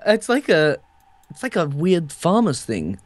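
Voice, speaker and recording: A man's voice: on the high side, wildly swooping pitch, a little slow, no room sound, heavily acted. A man voicing a boastful, oblivious male monster hunter in a game roleplay, talking in a showy, rambling way.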